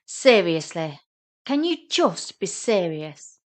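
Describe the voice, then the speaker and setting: A woman's voice: on the low side, very animated, takes it slowly. An awkward man in his forties, acted out by a woman narrator in a romantic comedy audio story. He is shy and nervous. He turns huffy and indignant when flustered. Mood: annoyed. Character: shy, awkward, easily flustered forty-something older brother.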